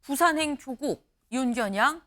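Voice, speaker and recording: A woman's voice: high voice, measured pace. A woman anchoring a morning political talk show, moderating panelists and reading out quotes. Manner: lively, reads out quotes and hands over to panelists. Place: broadcast studio.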